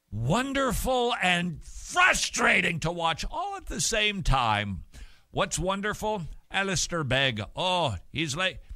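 A middle-aged man hosting a talk show from a small studio, speaking with emphatic, joking energy into a boom-arm studio mic. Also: sharp tone, no echo. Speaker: radio and TV show host. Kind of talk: talk show commentary with Bible reading. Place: small dim studio set.

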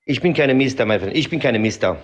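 A man's voice: huge pitch swings, takes it slowly, husky, full character voice. A man playing a cocky, evasive self-proclaimed freemason who speaks broken German and keeps saying "my friend". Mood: proud. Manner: broken, ungrammatical German, repeats "mein Freund"; defiant, evasive, swings from high indignant to low mutters. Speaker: comedy character in an interview sketch. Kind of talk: improvised comedy sketch.

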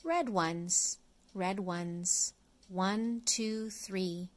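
A middle-aged woman reading an early reader picture book aloud to young children, phrase by phrase in a deliberate way. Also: medium pitch. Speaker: storyteller, children's read-along host.

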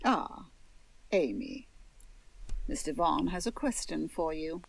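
A woman's voice: low-pitched, expressive, slow pace, gravelly, dry recording, exaggerated voice, rough recording. An actress playing a stern, haughty older society lady in a period audio drama, formal and imperious. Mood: formal, composed.

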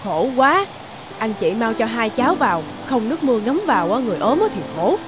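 An actress playing a kind, generous, bustling neighbour in an animated moral tale, emphatic and colloquial. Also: female voice, fairly high pitch, fairly quick, background noise. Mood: concerned. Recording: music behind the voice.

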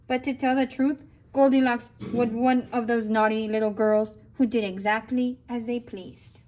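A woman with a very high voice reads a picture book aloud for children. She tells it expressively and drops in short asides. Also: normal speed, rough recording. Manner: animated storytelling with brief asides. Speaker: storybook reader.

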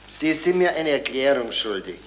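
An actor plays a shrewd Bavarian policeman in a radio drama. He is dry and needling, in a medium-pitched voice with lively rises and falls. The old recording has clear background noise. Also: close to an everyday voice. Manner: dry, unhurried, wry. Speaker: police officer. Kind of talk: radio crime drama acting. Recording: noisy 1970s radio recording.

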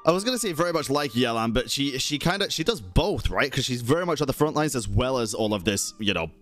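A man in his thirties commenting on a game as a streamer, excitable and jokey. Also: mid-range voice, quick pace, soft background music. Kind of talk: gameplay commentary. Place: home streaming room.

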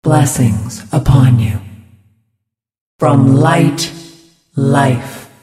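A woman playing a serene, devout female spirit healer in a video game, speaking in a measured, ceremonious, pious way. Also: very deep voice, warm tone, far from the mic, exaggerated voice. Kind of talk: video game character voice lines. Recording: reverberant hall effect, music behind.

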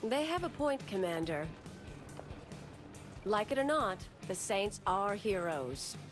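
A woman's voice: mid-range voice, big pitch swings, slow pace, over music. An actress playing an assertive, composed woman who speaks firmly and pointedly. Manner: firm, pointed.

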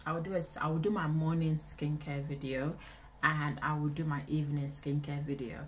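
A woman in her twenties with a Nigerian accent, chatting casually to camera in an indoor vlog, expressive and repeating phrases for emphasis. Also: deep voice, brisk, a little hiss or hum. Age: 20s. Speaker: vlogger. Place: small indoor room.

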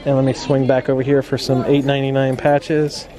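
A man filming a casual shopping vlog on a handheld camera, chatting along and reading out prices. His voice sits at an ordinary pitch. Store shoppers murmur clearly in the background. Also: monotone, fairly quick, crowd noise. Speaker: vlogger filming a shopping trip. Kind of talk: casual chat. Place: inside a quiet clothing store.

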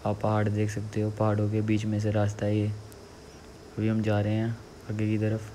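A man's voice: on the low side, monotone, normal speed, warm tone. A young man giving casual travel vlog commentary, pointing out the surroundings to viewers. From Jammu, India. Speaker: vlogger. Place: outdoors, on motorbike and hillside trail. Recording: noisy outdoor motorbike and trail recording.

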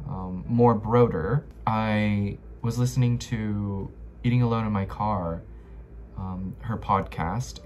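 A man's voice: mid-range voice, a little mellow, slight background noise. A man in his twenties giving a casual, playful book review to camera in slangy, chatty language from his bedroom. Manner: playful, slangy, with frequent "like" and trailing emphasis. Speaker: book vlogger. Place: small bedroom, indoors.

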